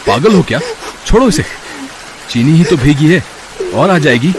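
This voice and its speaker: An actor playing a soft-hearted uncle who remonstrates in an animated way, in a storybook tale. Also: male voice, a little high, normal speed, crowd noise, poor audio. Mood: alarmed, protesting. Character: protesting, soft-hearted uncle defending his orphan niece.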